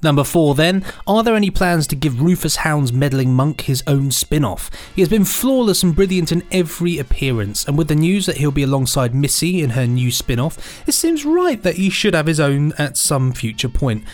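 Male co-host, British, lighter higher pitch, informal jokey delivery for podcast chat and listener mail. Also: sing-song, moderate pace, hardly any pauses, a little mellow. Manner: jokey, chatty. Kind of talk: casual chat, reading out listener questions.

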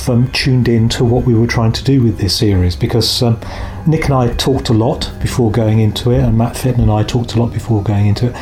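Man reflecting in interview, medium-pitched voice. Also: darkish tone. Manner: reflective, explanatory. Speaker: audio drama producer. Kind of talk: behind-the-scenes interview talk.

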